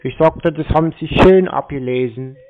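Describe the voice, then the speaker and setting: A man's voice: on the high side, normal speed. A man in a phone conversation with cold callers, speaking in a sardonic, confrontational way. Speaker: call recipient confronting scam callers. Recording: muffled, narrow phone-call sound.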